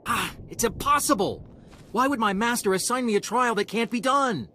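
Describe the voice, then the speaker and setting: Whiny, animated young apprentice. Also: male voice, extremely high pitch, moderate pace, a little hiss or hum, exaggerated voice. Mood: exasperated. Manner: complaining, flustered. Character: whiny, anxious young male Jedi apprentice, easily flustered. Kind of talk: video game dialogue acting.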